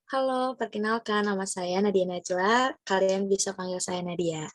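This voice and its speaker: A young woman from Bandung, a guest in a casual interview, talking in a relaxed and talkative way. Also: mid-range voice, moderate pace, dry recording. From Bandung, Indonesia.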